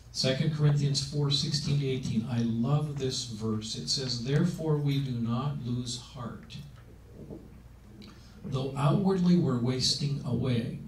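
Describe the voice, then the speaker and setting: A man's voice: medium pitch, darkish tone. A seventy-year-old man giving an emphatic, conversational sermon-style talk on aging into a handheld mic in a meeting room, asking his listeners rhetorical questions. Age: 70s. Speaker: speaker on aging. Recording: roomy sound from a distance with faint noise.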